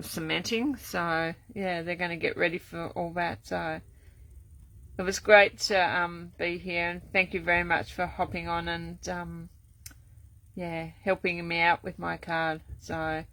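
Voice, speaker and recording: Older woman, rambling live craft chat, gravelly croaky voice, bright tone, dry close sound. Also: fairly low pitch, dry recording. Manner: rambling, many ums and restarts. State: voice going croaky. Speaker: card-making crafter. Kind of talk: casual live craft demo. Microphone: camera set up at desk.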